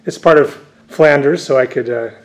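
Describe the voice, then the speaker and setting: A man's voice: mid-range voice, moderate pace, low-quality recording. A man who grew up speaking Dutch, a visiting scholar and author answering interview questions at length, with many fillers and restarts.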